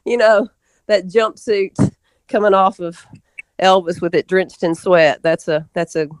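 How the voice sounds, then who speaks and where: A woman's voice: on the low side, steady tone, a little slow, no echo. A woman telling stories as an interview guest in a casual, rambling way, often doubling back mid-sentence. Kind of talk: casual interview storytelling, reminiscing.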